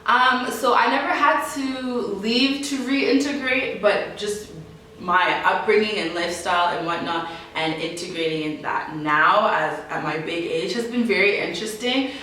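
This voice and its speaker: Bright, chatty young woman in an echoey room. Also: mid-range voice, a little slow, distant mic, slight background noise. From Canada. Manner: laughs often, animated, chatty. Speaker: interview guest. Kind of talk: casual interview answers.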